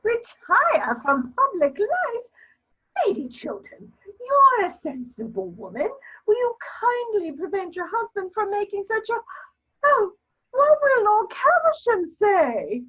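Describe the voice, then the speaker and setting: A woman's voice: very high-pitched, huge pitch swings, takes it slowly, dull audio, exaggerated voice. A woman playing an imperious, disapproving elderly society matron in a theatrical reading, with a haughty drawl and exclamations. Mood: scandalized. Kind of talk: theatrical dramatic reading of a period comedy.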